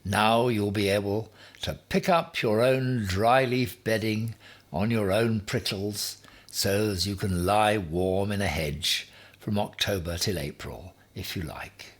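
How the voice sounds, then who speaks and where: A man's voice: lowish voice, slow. A man voicing a bossy, boastful would-be emperor in a children's story, with a pompous, emphatic, commanding manner. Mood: brisk, reassuring. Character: Ham, bossy, boastful man, self-styled future emperor.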